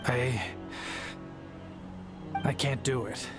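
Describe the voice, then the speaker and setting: A man's voice: medium pitch, fairly even intonation, very slow, over music. An actor playing a desperate but defiant family man in a video game, speaking with earnest agitation. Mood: anguished, pleading.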